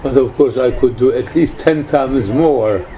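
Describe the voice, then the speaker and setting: Man in his nineties, slow halting speech, mellow tone, religious teacher, emphatic repetition, informal spiritual talk. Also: medium pitch. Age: 90s. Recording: dull lo-fi recording, faint background noise.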